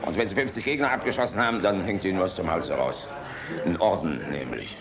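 A middle-aged air force general in a German radio play. He is bluff and commanding, with a sardonic, irreverent streak and a jovial edge. Lively party chatter fills the space behind him. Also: sits low, fast. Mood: dry, sardonic. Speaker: actor. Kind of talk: German radio drama acting.

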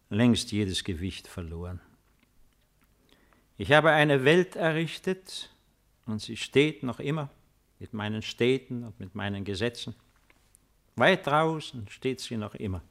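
An aging male ruler of Rome, weary and melancholy. He speaks in a low register at a very slow, measured pace. The delivery is solemn and declamatory, commanding yet resigned, in classic fifties radio play manner. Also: a bit bright, heavily acted. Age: older. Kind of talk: 1950s German radio drama acting.

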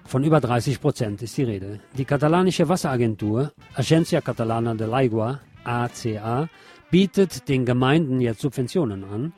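A man's voice: medium pitch, expressive, moderate pace, darkish tone, breathy edge. A man presenting scripted news as a radio host, adding sardonic, opinionated commentary of his own. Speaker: radio show host reading local news. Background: music with guitar.

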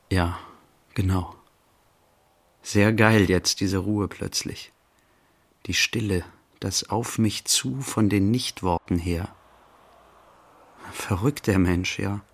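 A pensive calm man in a radio play, lowish gravelly voice, thinking out loud in slow broken bits. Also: heavily acted. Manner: halting, reflective, short fragmented phrases. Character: pensive man musing inwardly, mildly serene. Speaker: actor, narrator. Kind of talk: literary radio play monologue.

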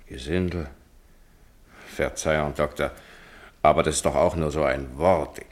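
A man's voice: rumbling low, fairly even intonation, a little slow. An actor playing a humane male writer, eloquent and probing, in a 1950s German radio drama. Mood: determined, earnest. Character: Oliver Goldsmith, humane male writer challenging superstition.

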